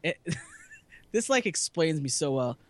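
A man's voice: fairly high pitch, very animated, a little slow. A man co-hosting a casual podcast chat, rambling and self-deprecating, laughing often. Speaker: podcast co-host.

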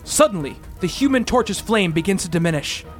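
A man's voice: high voice, animated, a little fast. A man delivers dramatic comic-book narration for a radio play. His style is grandiose and theatrical, like scripted serial storytelling. Faint music plays behind the voice.

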